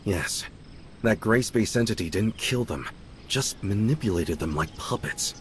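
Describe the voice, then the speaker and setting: A calm, low-toned game companion. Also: male voice, a little hiss or hum. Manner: measured, explanatory. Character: calm, knowledgeable young man companion. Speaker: game character. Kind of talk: video game voice acting. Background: game music.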